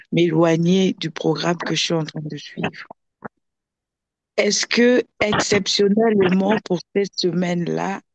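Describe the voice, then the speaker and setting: Hoarse woman on a dull lo-fi call. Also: on the low side, no echo. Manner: hesitant, many fillers and restarts. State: hoarse from flu and sore throat. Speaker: program participant. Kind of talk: asking a question on a group call. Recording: dull, dry lo-fi audio.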